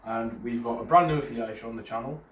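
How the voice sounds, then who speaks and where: Fast-talking nasal, raspy man. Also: mid-range voice. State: under the weather. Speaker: host presenting a tabletop battle report. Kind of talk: casual explanatory chat. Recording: roomy, distant mic.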